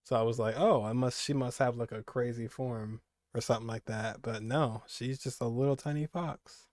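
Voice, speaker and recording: A man's voice: mid-range voice, steady tone, moderate pace, darkish tone, no room sound, studio quality. A young man giving casual, rambling reaction commentary as a gaming streamer, repeating phrases for emphasis.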